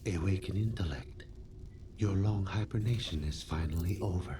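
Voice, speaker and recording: An actor playing a calm, formal artificial intelligence, a steward of humanity, giving measured and precise game briefings. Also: male voice, deep voice, very noisy, close to an everyday voice. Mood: formal, commanding.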